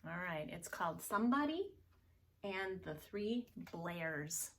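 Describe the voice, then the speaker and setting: A woman reads a picture book aloud as a preschool teacher would. Her delivery is slow and expressive, with animated intonation aimed directly at small children. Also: on the low side.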